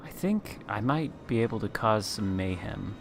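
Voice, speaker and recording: A man chatting casually as a guest while playing a naval battle game, talking in a smooth late-night radio voice. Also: on the low side, animated, moderate pace, music in the background. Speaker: guest content creator. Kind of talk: casual gameplay chat.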